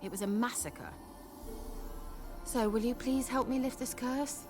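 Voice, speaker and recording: A woman's voice: a little high, a little slow, lightly acted. A woman playing a haughty wry sorceress. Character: vain sharp-tongued adult sorceress. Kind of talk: video game cutscene acting. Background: game soundtrack music.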